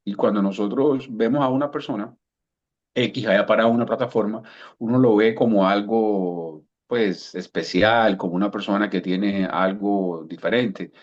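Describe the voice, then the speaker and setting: A man in his fifties from Cuba, his accent softened by years in Colombia, speaking as a guest coach over a video call. He tells his story thoughtfully and often repeats words while he finds them. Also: fairly low pitch, normal speed, dull audio. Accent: Cuban Spanish softened by years in Colombia. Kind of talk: relaxed storytelling interview answer.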